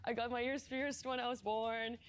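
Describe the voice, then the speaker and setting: A woman's voice: fairly high pitch, fairly even intonation, moderate pace. A young woman from Argentina chatting as a game show contestant, laughing and hesitant with lots of fillers. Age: young adult. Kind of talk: casual panel chat.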